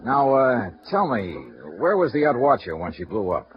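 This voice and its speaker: An actor playing a shrewd male insurance investigator in a 1950s radio drama, questioning someone in a calm, probing, gently pressing way. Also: mid-range voice, normal speed, close to an everyday voice. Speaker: lead actor. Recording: muffled old radio transcription.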